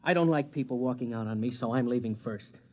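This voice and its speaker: An actor playing a proud, restless rocket pilot and husband, earnest and clipped, in 1950s radio drama style. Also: male voice, medium pitch, quick pace, natural voice. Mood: hurt, resigned. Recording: dull, faintly noisy old radio recording.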